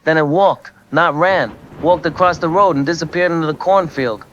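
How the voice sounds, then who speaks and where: A man's voice: a little high, moderate pace, a bit bright, a little hiss or hum, lightly acted. A man playing a rattled veteran truck driver calling into a radio show in an audio drama. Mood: rattled, earnest. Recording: dull lo-fi call-in sound.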